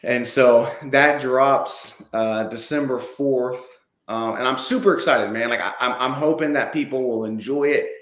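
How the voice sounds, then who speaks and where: A man's voice: mid-range voice, unhurried, a bit bright. A man in his late thirties, a comedian guest chatting in an informal, conversational way. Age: late 30s. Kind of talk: casual chat. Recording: echoey, roomy sound.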